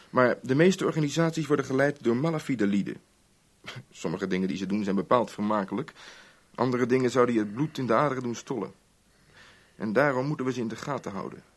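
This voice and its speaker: An actor playing a sly, urbane chief inspector in a radio play, breezy and teasing in manner. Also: male voice, mid-range voice, husky, lightly acted. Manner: breezy, teasing, evasive old-boy chattiness. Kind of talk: Dutch radio play acting.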